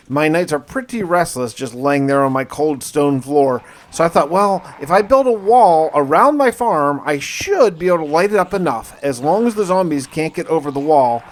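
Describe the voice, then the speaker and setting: A man narrating his survival gameplay as a casual first-person story, with dramatic turns and colloquial asides. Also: a little high. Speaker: gaming video host and narrator.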